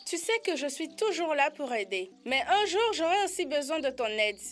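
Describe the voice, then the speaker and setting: Casting: woman as small cartoon elephant, inquisitive and a bit greedy, high young voice. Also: sing-song, normal speed, soft background music. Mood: puzzled, curious. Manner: inquisitive, bargaining, childlike. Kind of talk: animated educational cartoon dialogue.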